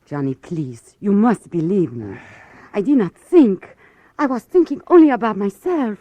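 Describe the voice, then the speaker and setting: An actress playing a remorseful femme fatale dancer, pleading melodramatically in a vintage radio drama. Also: female voice, mid-range voice, animated, a little slow, no room sound. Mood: desperate remorse. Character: vain young Latin femme fatale dancer, now remorseful. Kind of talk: 1950s radio drama acting. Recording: dull vintage radio recording with music.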